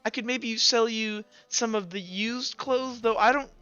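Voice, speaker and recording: A man playing a halting, kindly woman shopkeeper. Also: extremely high pitch, sharp tone, clear, resonant tone, muffled recording, pitched above the performer's own voice, heavily acted. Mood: apologetic. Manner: halting, apologetic, hedging. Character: kind, hesitant woman shopkeeper selling clothes.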